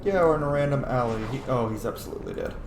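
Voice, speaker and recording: A man's voice: medium pitch, racing, background noise. A man giving casual, sweary video game commentary, reacting aloud as he plays. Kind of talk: casual let's-play commentary. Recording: roomy echo, game music behind. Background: video game music.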